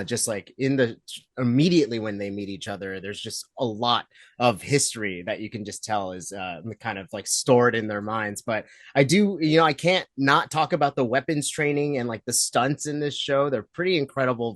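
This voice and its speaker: A man's voice: medium pitch, fairly bright tone, dry recording. Young male junket interviewer, chatty and upbeat, says "like" a lot. Dry close sound. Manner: chatty, enthusiastic, heavy with fillers like "like". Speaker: entertainment interviewer. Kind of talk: casual press junket interview.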